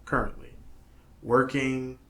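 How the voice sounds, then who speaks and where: A man co-hosting a casual podcast chat, speaking excitably and repeating phrases for emphasis. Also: medium pitch, slow pace. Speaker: podcast co-host. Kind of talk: casual chat about games and movies.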